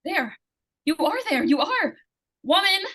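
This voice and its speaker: A woman's voice: very high voice, big pitch swings, measured pace, pushed and bright, full character voice. A woman playing a desperate, insistent young girl in an old-time radio suspense drama, urgent and pleading. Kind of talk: 1940s radio suspense acting.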